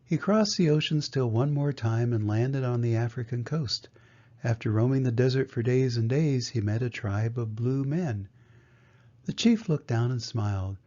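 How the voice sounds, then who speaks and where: An older man, a grandfather, reads a children's storybook aloud in a measured, gentle way. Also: mid-range voice. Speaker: grandfather reading a picture book aloud. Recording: dull, muffled lo-fi sound.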